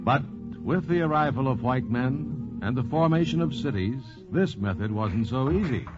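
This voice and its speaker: A man's voice: medium pitch, lively intonation, moderate pace. A man narrating a scripted 1940s radio program as host, with a dramatic, polished announcer delivery. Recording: dull 1940s radio transcription. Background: loud music.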